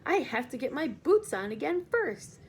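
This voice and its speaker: A fussy centipede from a storybook, huffy and insistent about his boots, as a woman reads him aloud. The voice sits high and swings lively from note to note. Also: moderate pace, a little hiss or hum.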